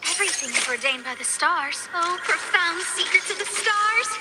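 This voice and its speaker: An actress playing a confident, theatrical heroine calling out battle lines in a video game. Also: female voice, very high-pitched, lively intonation, normal speed, very noisy. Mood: grand, confident.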